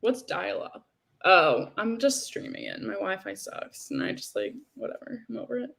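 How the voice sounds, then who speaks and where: Gravelly, casual woman co-host. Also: medium pitch, very animated, moderate pace, a bit bright. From New Jersey. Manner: casual, filler-heavy, laughing. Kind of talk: casual stream chat.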